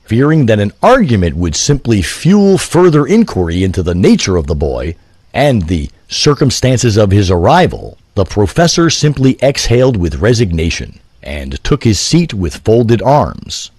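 A man narrates a literary story with deliberate phrasing. His voice is on the low side and rather rough. The intonation is lively, and the recording is dry. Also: no room sound.

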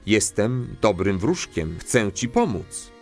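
A man's voice: lowish voice, sing-song, slow pace, faint music behind, full character voice. A man reading formal voice-over translations of slang lines in a comic audio drama. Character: formal lector with literal, proper phrasing.

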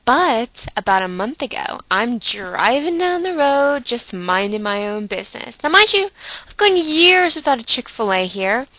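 A woman with a bright, forceful voice tells a story to her viewers like a chatty vlogger. She takes her time, leaning on words for dramatic effect and acting out her own inner dialogue. The sound is close and dry. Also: fairly high pitch, no echo. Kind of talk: animated storytelling monologue to viewers.

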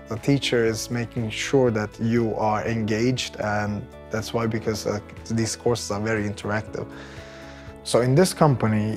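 A man's voice: fairly low pitch, darkish tone. Turkish-accented man over background music. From Turkey. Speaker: employee interviewee, technical project manager. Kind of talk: conversational testimonial interview. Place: bright office room indoors, by large windows. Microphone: lapel mic.

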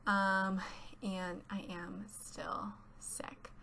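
Teenage girl, bright voice, stuffy from a cold, chatty and giggly, faint hiss behind. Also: medium pitch, little pitch movement, extremely slow, gravelly. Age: teens. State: congested from a cold. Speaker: YouTuber. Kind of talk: casual voice-over chat. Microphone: home voice-over mic.

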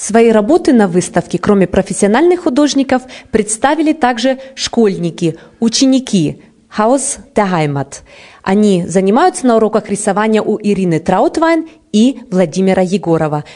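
Female news presenter, thirties, mellow tone, mid pitch, scripted reporting style on a handheld mic. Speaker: video news presenter and interviewer. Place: indoor art gallery. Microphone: handheld reporter microphone.

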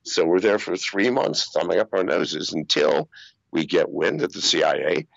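An older man talks over a video call from a small foam-lined room, wearing headphones. His voice is very low and heavily gravelly with a husky edge, and his pitch swings widely as he spins profane, animated stories. The sound is dry and dull, like lo-fi call audio. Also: moderate pace, no room sound. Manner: profane, animated storytelling with laughs. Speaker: interview guest. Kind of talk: casual interview storytelling. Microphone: video call wearing over-ear headphones.

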